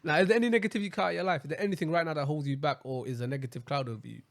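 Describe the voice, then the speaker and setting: A man with a light voice with little bass, co-hosting a casual podcast and firing off teasing questions. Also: creaky. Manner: rapid, playful, teasing questioner. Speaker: podcast co-host asking the questions.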